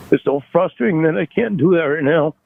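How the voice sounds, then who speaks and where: A tearful, upset man in an emotional private call on a headset, talking haltingly and feeling sorry for himself. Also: on the high side, creaky, a little mellow, a little hiss or hum. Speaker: caller. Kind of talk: emotional private phone conversation. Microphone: headset on a recorded call. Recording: dull, narrow phone call audio.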